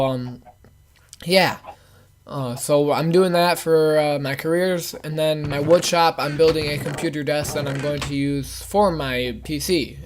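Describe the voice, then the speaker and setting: A man's voice: fairly high pitch, slight background noise. A young man with a voice that is giving out, rambling through a casual game commentary with many fillers. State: losing his voice. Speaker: gaming channel host. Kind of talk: casual Let's Play commentary.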